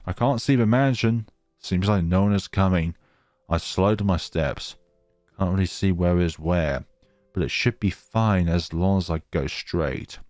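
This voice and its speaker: A man narrates a horror visual novel in a fairly low voice, taking his time. His delivery is theatrical and halting, broken by casual asides. The sound is dry, with faint music behind him. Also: a little mellow, dry recording. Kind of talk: reading game narration aloud dramatically, with casual asides.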